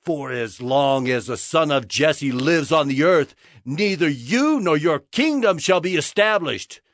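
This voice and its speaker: A man's voice: fairly high pitch, lively intonation, unhurried, a bit bright, no echo, clean studio sound. A man playing a furious, vengeful king in a dramatized Bible story, harsh and commanding. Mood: angry, scornful. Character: furious vengeful king raging at his son.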